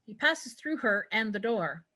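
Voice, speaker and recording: A woman's voice: mid-range voice, big pitch swings, measured pace, pushed and bright. A woman reading stage directions as narrator in a scripted fantasy table read.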